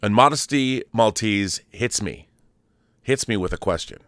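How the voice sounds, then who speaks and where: A man's voice: on the low side, lively intonation, unhurried, a bit bright, no room sound, clean studio sound. A middle-aged man hosting a film channel, talking casually off the cuff in a rambling, emphatic storytelling way and often correcting himself. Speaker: host of a film discussion channel. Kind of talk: casual unscripted monologue to viewers.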